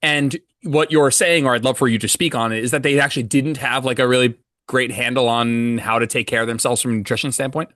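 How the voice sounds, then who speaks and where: A man hosting a podcast, asking questions in a loose, animated interview style with casual swearing. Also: mid-range voice, fast talker, no echo, studio quality. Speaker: podcast host.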